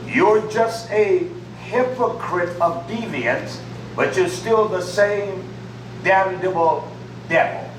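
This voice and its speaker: A man's voice: sits high, takes it slowly. A man preaching a sermon in short, emphatic phrases. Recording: echoey room from far off with faint noise.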